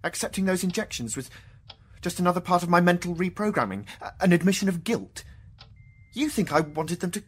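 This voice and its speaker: An actor playing a dead wartime mathematician in a radio drama, a wry, wounded intellectual who swings from hushed to outburst. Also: male voice, high voice, expressive, fairly quick, breathy edge. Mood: bitter, defensive.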